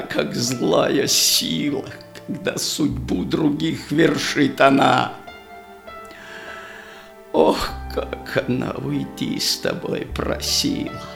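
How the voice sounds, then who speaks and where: Audio drama casting: proud old merchant father, gravelly, slightly high voice, remorseful, theatrical verse delivery. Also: a bit bright, full character voice. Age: older. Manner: slow, theatrical, lamenting rhymed delivery.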